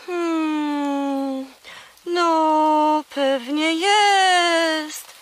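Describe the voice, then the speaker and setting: A woman's voice: high-pitched, extremely slow. A woman voicing a chatty, scatterbrained, childlike female seagull in a children's audio drama. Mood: eager storytelling.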